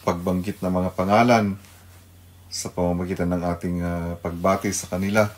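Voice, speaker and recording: A man with a Filipino accent hosting an unscripted devotional radio program as a pastor, in a halting, deliberate way. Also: deep voice, level tone.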